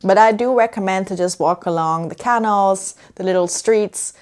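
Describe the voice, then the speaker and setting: A woman's voice: medium pitch, normal speed, darkish tone. A young woman from the Netherlands talking casually and easily to camera for a travel vlog, indoors by a window. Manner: chatty, easygoing, laughs often. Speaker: travel vlogger.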